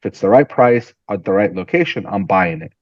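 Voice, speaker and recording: A man from Syria, a guest entrepreneur, telling stories in an animated, conversational interview and often repeating words as he starts a sentence. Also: lowish voice, brisk, no echo, dull audio.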